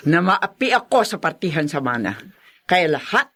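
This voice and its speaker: A woman's voice: on the low side, big pitch swings, moderate pace, a bit bright. An actress playing a domineering, greedy middle-aged stepmother in a Filipino radio drama, emphatic and calculating. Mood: scheming, determined.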